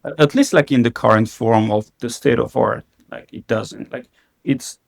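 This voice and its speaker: Gravelly-voiced man, leaning on "like". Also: medium pitch, moderate pace. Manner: heavy use of the filler "like", frequent restarts. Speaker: company CEO, podcast guest. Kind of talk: casual interview talk.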